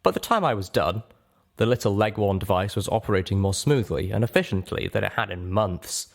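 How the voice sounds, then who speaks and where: A man's voice: on the low side, fairly quick, creaky. A man reading a story aloud as narrator, in dramatic first-person audiobook style.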